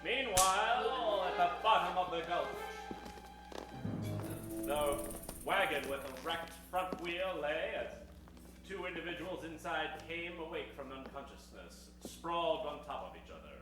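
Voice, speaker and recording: A man's voice: on the high side, lively intonation, unhurried, distant mic. A man narrating improvised old-time radio comedy in a theatrical storytelling manner. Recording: echoey hall from afar, music behind.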